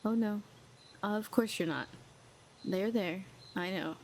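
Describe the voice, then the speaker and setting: A woman's voice: medium pitch, slow, a little mellow, slight background noise. A woman playing a proud, lonely telepathic villain who talks in a sardonic, cool and needling way. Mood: soothing. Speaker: audio roleplay performer. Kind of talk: scripted audio roleplay.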